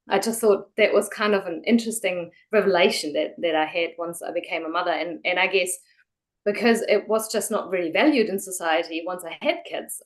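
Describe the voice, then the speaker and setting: A woman from Germany, speaking as a guest in a casual interview, chatty and full of fillers and restarts. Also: on the low side.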